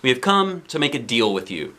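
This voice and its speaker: A man voicing an earnest, outspoken young schoolboy in a children's storybook, with an emphatic, animated manner. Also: mid-range voice, fairly quick. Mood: firm. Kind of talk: children's storybook character reading.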